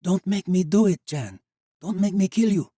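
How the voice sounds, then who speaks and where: A man's voice: high voice, moderate pace, warm tone, dry recording, studio quality, pitched above the performer's own voice. A man voicing a fierce young woman who pleads and cries out in anguish. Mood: pleading. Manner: pleading, crying out.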